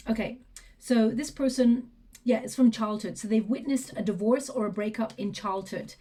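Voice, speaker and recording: A woman giving a tarot reading, talking softly and reflectively as she thinks aloud, her voice going hoarse and throat clearing. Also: a little high, normal speed. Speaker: tarot reader.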